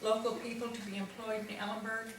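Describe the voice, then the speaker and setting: Flat-voiced woman chairing a meeting. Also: on the high side. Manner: measured, reads out an agenda. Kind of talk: formal meeting introduction. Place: town meeting hall. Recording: echoey hall from far off with hiss.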